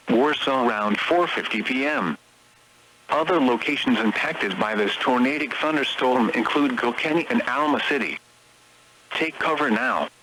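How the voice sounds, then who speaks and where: A synthetic male announcer with a medium-pitched, bright and forceful voice delivers automated emergency weather bulletins. The reading is formal and clipped, phrase by phrase. It comes over a weather radio broadcast with faint noise. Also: poor audio.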